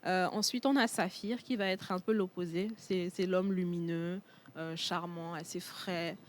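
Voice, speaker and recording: A woman from Togo whose first language is Tchokosi, a perfume entrepreneur speaking as a guest in a TV studio interview, pausing often on fillers. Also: on the low side, steady tone. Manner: hesitant, many fillers and restarts.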